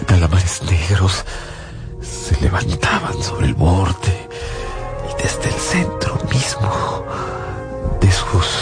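A man acting in a radio novel as a shaken father fleeing an invasion, narrating in a halting, haunted way over music. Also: lowish voice, vocal fry, heavily acted. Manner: halting, haunted, hesitant delivery with repeated words. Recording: loud music behind radio drama narration. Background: loud dramatic music.

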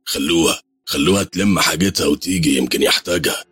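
A smug Egyptian thug boss who mocks and threatens, deep and husky, as a man plays him. He sits dry and close on the mic. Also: fast talker, a bit bright, no echo, soft background music, exaggerated voice. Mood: mocking menace. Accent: Egyptian Arabic. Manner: mocking, menacing. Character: smug, menacing male thug leader.

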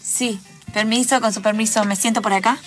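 A woman's voice: fairly high pitch, fairly even intonation, a little fast, lightly acted. A woman playing a nervous, dreamy, polite job applicant in an improvised radio play. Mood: nervous, hopeful. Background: music.

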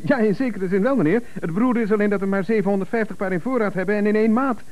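A man's voice: sits high, fast talker, darkish tone, natural voice. An actor playing a nervous, deferential, put-upon male manager in a 1970s radio comedy.

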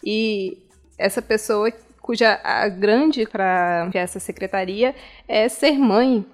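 A woman's voice: medium pitch, slow. A Brazilian woman co-hosting a podcast, making casual, wry commentary in an informal conversational way. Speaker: podcast co-host.

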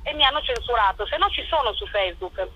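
A woman answering interview questions as a playwright and performer, in a colloquial, animated storytelling way. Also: a little high, fast, slightly rough, dull audio.

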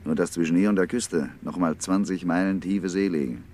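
Actor as low-voiced, calculating ship's officer. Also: male voice, a little fast, warm tone, natural voice. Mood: coolly scheming. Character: calculating ship's officer, obedient and coolly complicit in murder. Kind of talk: 1950s German radio drama acting. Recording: noisy 1950s radio recording.

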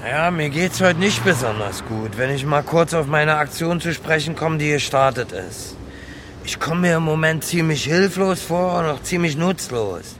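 A man's voice: fairly high pitch, expressive, moderate pace, lightly acted. An actor playing a hapless, guileless homeless man and petty thief in a naturalistic radio drama, talking in a plain, naive way. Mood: downcast, helpless. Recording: noisy outdoor street with traffic.

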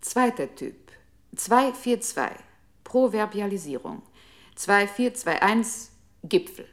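The control unit of a computer in a 1960s radio drama. It is coolly methodical and measured in pace, reading out numbers and procedures with impersonal precision and giving curt, clipped commands. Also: female voice, medium pitch, animated. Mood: coolly procedural. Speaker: announcer of protocols and commands in a radio play.

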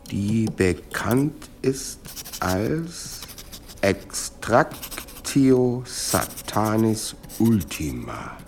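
An actor in a radio drama playing a solemn monastery copyist who reads aloud haltingly and deliberately. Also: male voice, fairly low pitch, darkish tone, a little hiss or hum, heavily acted. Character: solemn male monastery copyist reciting an exorcism ritual.

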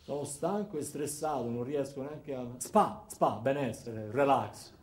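Man doing low, demanding tired businessman. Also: measured pace, reverberant, lightly acted. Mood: weary, demanding. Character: stressed, demanding travel agency clients, tired businessmen.

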